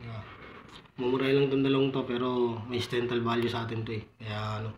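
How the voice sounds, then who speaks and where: A Filipino man talks in a relaxed, informal vlog style, as if to his buddies. His medium-pitched voice stays fairly monotone. The recording is roomy and echoey, with clear noise behind him. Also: quick pace. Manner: repeatedly addresses viewers as buddies, informal. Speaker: vlogger reviewing motorcycle gear. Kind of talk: casual vlog product walkthrough.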